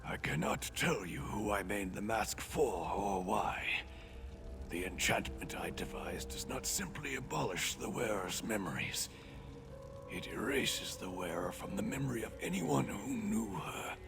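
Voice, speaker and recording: A mad, blind old prisoner from a fantasy game, sorrowful and formal. His low, breathy, gravelly voice wavers and swings widely as he speaks in halting, archaic phrases over music. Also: animated. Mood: urgent, cryptic. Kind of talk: fantasy video game voice acting.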